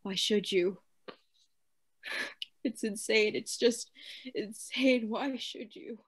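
A woman's voice: on the high side, slow, husky. A woman playing a tormented, obsessive asylum lunatic in a radio play, with formal, theatrical diction. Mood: terrified. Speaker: actor.

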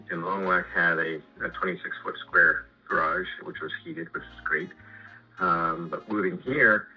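A middle-aged Canadian man chatting casually and haltingly about his projects over a muffled phone call, rambling and repeating words. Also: sits low, breathy edge. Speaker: homeowner and sawmill customer. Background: music.

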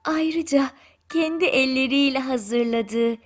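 A woman narrating a children's bedtime fairy tale in a gentle, soothing storytelling manner, with deliberate pauses. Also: sits high. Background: music.

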